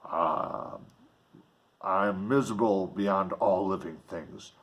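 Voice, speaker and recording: A man's voice: low-pitched, pitched below the performer's own voice. Man voicing a solemn, wretched manmade monster. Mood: bitter, wretched. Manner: solemn, archaic, deliberate oratory. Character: huge, wretched, bitter manmade monster, pleading and menacing.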